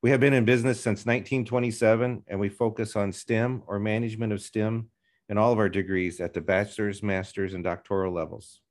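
A man's voice: fairly low pitch, level tone, a little fast. A middle-aged man hosting a podcast interview, talking in a friendly, conversational way. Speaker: podcast host, university president.

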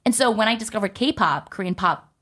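A woman's voice: medium pitch, lively intonation, brisk, fairly bright tone. A young woman telling a personal story to her viewers in a chatty way, full of "like" and "you know". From United States.

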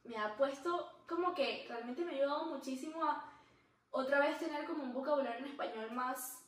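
High-voiced woman podcast co-host, rambling and easygoing, recorded off-mic in a roomy space. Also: normal speed, recorded from a distance. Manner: laughs easily, talks in long rambling runs with restarts. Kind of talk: casual chat. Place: room where the podcast is filmed. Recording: very echoey room, mic set back.

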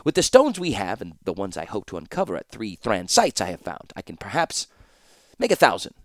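A man's voice: lowish voice, wildly swooping pitch, brisk, dry recording, studio quality. A man voicing an older male master artificer, amused and obsessed, speaking in a lofty, lecturing way.

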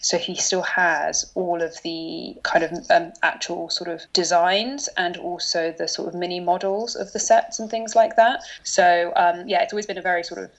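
A woman's voice: on the low side, fairly even intonation, moderate pace, few pauses. Woman in her 30s from london chatting as a guest, lots of ums and restarts, kinda dull lo-fi recording. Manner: chatty, many fillers and restarts. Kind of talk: casual interview chat.

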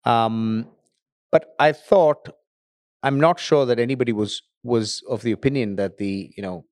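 A man's voice: mid-range voice, measured pace, darkish tone, no echo, clean studio sound. A middle-aged man talking casually as a podcast guest, rambling with many restarts. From grew up in Tanzania and Nigeria. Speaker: podcast guest, data center company founder. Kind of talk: casual interview talk.

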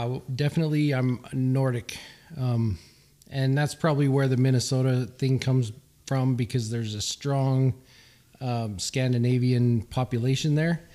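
A man whose first language was Swedish, chatting as a podcast guest and often pausing and restarting his sentences. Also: mid-range voice, steady tone, dark tone. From Utah. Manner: hesitant, many restarts and fillers. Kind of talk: casual podcast chat.

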